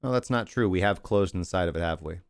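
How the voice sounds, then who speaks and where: A man's voice: low voice, fast talker, clean tone, no room sound. A man hosting a crypto livestream, chatty and rambling as he talks through charts and thanks viewers, losing his voice. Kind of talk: casual market analysis and sign-off.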